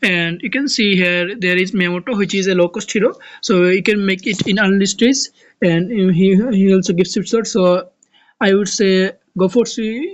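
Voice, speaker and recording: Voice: medium pitch, highs cut off. Hesitant Bangladeshi-accented game tutorial voice. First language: Bengali. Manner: hesitant, often restarting words and searching for terms. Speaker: game guide creator. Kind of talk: casual screen-recorded game tutorial.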